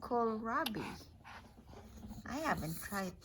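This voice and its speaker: Lively, slow-paced woman reading aloud. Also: fairly high pitch, a little hiss or hum. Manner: instructive, with unscripted asides to a child. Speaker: mother reading an alphabet picture book aloud to a child. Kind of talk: casual read-aloud, naming words slowly letter by letter.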